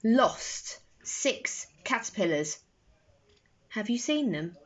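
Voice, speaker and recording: A young woman reading a children's bedtime story aloud in an expressive, deliberate way, recorded on a phone in a bedroom. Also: a little high. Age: young adult. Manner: slow, deliberate, animated phrasing for children. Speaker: storybook reader. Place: bedroom, indoors. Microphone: phone at arm's length. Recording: slightly dull phone recording.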